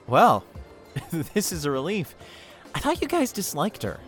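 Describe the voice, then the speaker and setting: A man's voice: high voice, huge pitch swings, unhurried, fairly bright tone, faint music behind, full character voice. A man playing an easygoing, loyal young peacemaker in an audio drama, hesitant and conciliatory in manner. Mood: relaxed.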